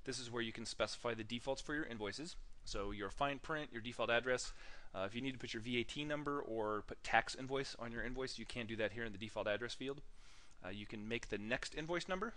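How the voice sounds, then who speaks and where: A man narrating a software tutorial in a casual, informal way, halting often with ums and uhs as he demonstrates. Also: lowish voice.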